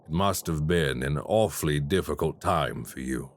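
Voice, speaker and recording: An actor playing a polite, quietly menacing male crime boss in an audio roleplay. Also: a bass voice. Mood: smooth menace. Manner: slow, courteous and quietly menacing.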